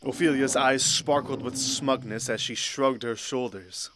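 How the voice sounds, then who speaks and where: A man's voice: mid-range voice, fairly even intonation, unhurried, background noise. A man narrating a scripted fantasy story. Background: faint background music.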